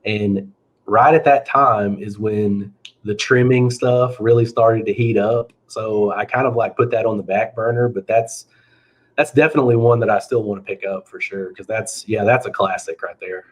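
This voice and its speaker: A man co-hosting a sports card podcast, chatting in a friendly, halting way. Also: lowish voice, fairly even intonation. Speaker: podcast co-host. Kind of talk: casual sports card chat.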